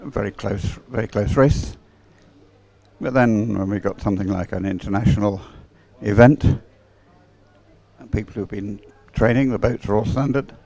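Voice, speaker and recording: Low-pitched, mellow man commentating, rambling. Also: a little slow, vocal fry. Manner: hesitant, rambling, repeats words. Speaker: sports commentator. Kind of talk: live race commentary and off-the-cuff chat.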